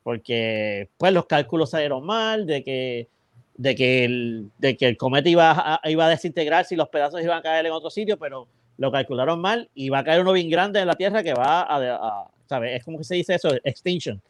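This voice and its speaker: A Puerto Rican man on a podcast, his voice a touch higher than most men's, talks through a movie's story in loose, rambling chat and keeps restarting his sentences. The sound is close and dry, with no room around it. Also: lively intonation, brisk, no room sound. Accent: Puerto Rican Spanish. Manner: rambling, chatty plot retelling with many restarts. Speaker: podcast co-host. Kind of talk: casual film review chat.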